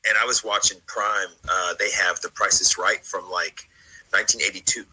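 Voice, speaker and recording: A man's voice: sits low, flat delivery, moderate pace, bright, forceful tone, slightly rough, rough recording. An American man in his late thirties chatting casually in a rambling, jokey, slangy way as he hosts. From United States, partly South Carolina and Virginia. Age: late 30s. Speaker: host of a vlog. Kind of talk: casual reaction chat.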